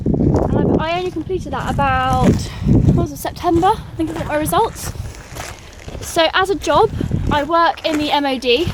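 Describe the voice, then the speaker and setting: A woman in her twenties from England, chatting casually with lots of fillers while she walks outdoors in a forest. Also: sits high. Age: 20s. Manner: chatty, frequent fillers, laughs at herself. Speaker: vlogger. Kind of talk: casual chat while walking. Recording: loud outdoor background noise.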